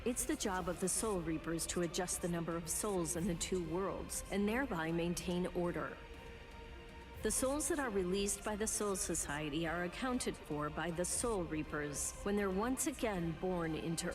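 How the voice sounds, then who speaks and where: Actress as composed young female soul reaper, low register, grave, measured, anime game dub, music underneath. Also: distant mic, lightly acted. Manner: serious, measured, explanatory. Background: loud game music.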